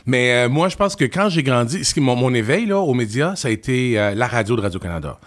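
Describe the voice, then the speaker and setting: A man in his fifties with a Québécois French accent, telling stories about his years as a music TV host in a lively, chatty way. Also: medium pitch. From Quebec. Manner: animated storyteller, quick and chatty. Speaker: podcast guest, former music TV host. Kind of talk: casual interview chat.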